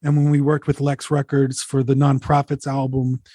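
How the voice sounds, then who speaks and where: A man's voice: medium pitch, monotone, moderate pace, warm tone, no room sound, clean studio sound. A man in his forties, a musician talking candidly and reflectively as an interview guest, swearing casually.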